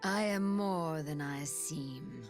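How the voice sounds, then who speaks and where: A woman's voice: low-pitched, takes it slowly, faint music behind. A woman voicing a proud, fierce half-dragon warrior in a video game, stern, terse and defiant.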